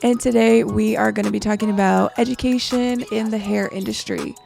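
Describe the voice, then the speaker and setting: A woman's voice: medium pitch, steady tone, brisk, vocal fry, darkish tone, soft background music. A young woman co-hosting a casual podcast, sounding congested, with easygoing back-and-forth talk. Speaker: podcast co-host. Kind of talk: casual chat.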